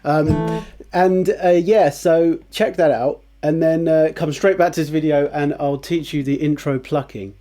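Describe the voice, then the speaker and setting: A man talks through a guitar lesson in a loose, chatty way, often restarting his sentences and dropping in fillers as he explains the plucking pattern. His voice sits a little higher than most men's. Guitar music plays clearly beneath his voice. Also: steady tone, a little slow, mellow. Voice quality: lower voice, by his own account. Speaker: guitar teacher, channel host. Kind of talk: casual guitar tutorial.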